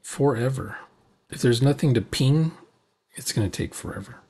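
An older man with a husky voice giving casual reaction commentary on a roleplaying game. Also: mid-range voice, lively intonation, normal speed, dark tone. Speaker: video host commenting on a game recording.

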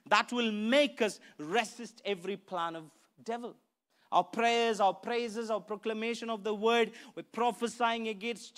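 A man's voice: very high-pitched, a bit bright. A middle-aged man preaching a church sermon into a handheld microphone, emphatic and repeating phrases to drive them home. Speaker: pastor preaching. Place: church stage, indoors.

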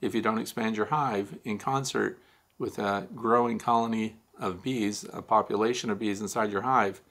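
A man's voice: fairly low pitch, steady tone, moderate pace, a bit bright. A man in his sixties hosting a beekeeping question show, explaining step by step and answering his own questions. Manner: explanatory, poses questions then answers them. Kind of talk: casual instructional talk to camera. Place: home studio with neon sign, indoors.

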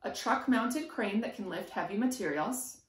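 A woman's voice: medium pitch, animated, moderate pace. A woman in her thirties, a preschool teacher reading aloud expressively to young children, with rhythmic, emphatic delivery. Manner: rhythmic, sing-song, emphatic on sound words. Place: small room at home, indoors. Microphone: camera a few feet away. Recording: roomy echo from camera distance.

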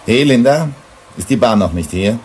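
Deep-voiced, gruff, irascible director played by a man. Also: lively intonation, normal speed, very noisy, natural voice. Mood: businesslike. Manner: brusque, commanding, indignant. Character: gruff, irascible, authoritarian male mining director on Venus. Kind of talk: German radio drama acting.

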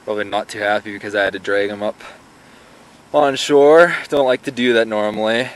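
A man's voice: on the low side, a little fast. A young man vlogging outdoors with a camera held at arm's length, talking in a chatty, rambling way. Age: young adult. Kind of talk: casual fishing vlog. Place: outdoors by a pond. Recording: faint outdoor background noise.

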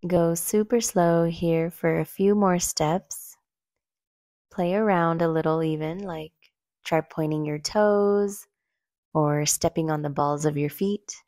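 Slow, mellow woman guiding meditation. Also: lowish voice, fairly even intonation, no echo, clean studio sound. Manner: gentle, soothing, unhurried instructing with long pauses. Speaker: guided meditation instructor. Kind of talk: slow guided meditation narration.

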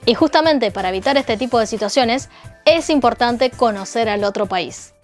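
A young woman hosts a casual explainer video. Her voice sits a little high, and she drops wry asides into the chat. Soft music plays underneath. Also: expressive, normal speed. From China. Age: young adult. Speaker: host. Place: indoor room. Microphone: lapel.

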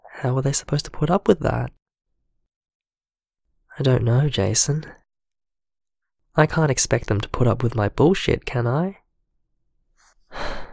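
A man's voice: mid-range voice, creaky, a little mellow, no echo, clean studio sound. A man playing an anxious, self-doubting man in an audio drama, speaking hesitantly with frayed nerves. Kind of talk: audio drama voicemail acting.

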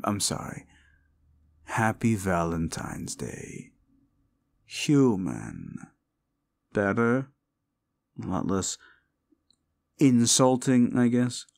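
A man's voice: deep voice, gravelly, heavily acted. A man playing a cruel, condescending demon in an audio roleplay, talking down to the listener with mocking, theatrical delivery. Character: condescending, cruel male demon summoned as a date.

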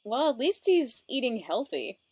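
High-voiced woman giving casual reaction commentary. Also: very animated, normal speed, husky.

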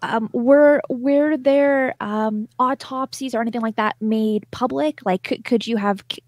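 A woman in her thirties hosting an interview, asking questions in a thoughtful, halting way. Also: a little high, fairly even intonation, no echo. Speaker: host, interviewer. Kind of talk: conversational interviewing. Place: indoor room, video call.

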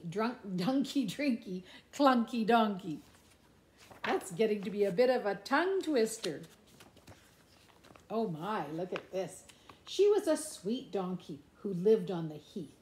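Middle-aged female storyteller, slow and expressive picture-book delivery, playful sing-song lilt, roomy home recording. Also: mid-range voice. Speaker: grandmother reading a picture book to grandchildren. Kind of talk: animated children's storybook read-aloud with asides. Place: indoors in a room at home. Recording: echoey room.

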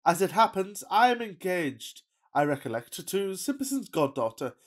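A woman playing a pompous, amorous gentleman bachelor with florid, mock-solemn delivery in a radio-play farce. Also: fairly low pitch, sing-song, moderate pace. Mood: haughty reasoning.

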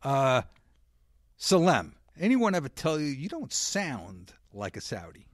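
A wisecracking male host with a mid-range, lively voice that rises and falls a lot. He needles his guest with sarcastic questions, recorded dry and close. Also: mid-range voice, a little slow, no room sound. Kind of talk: scripted comedy interview.

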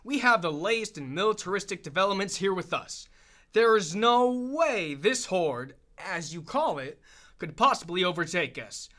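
Actor as cocky army commander. Fairly high, forceful, emphatic, radio drama. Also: male voice, expressive, normal speed. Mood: confident. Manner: emphatic, assured. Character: confident, blustering US military commander.

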